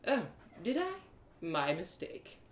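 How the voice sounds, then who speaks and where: A woman's voice: on the low side, wildly swooping pitch, slow, exaggerated voice. Woman acting a smug, haughty male elf guard. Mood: taunting. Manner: formal, archaic, mocking. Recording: roomy echo.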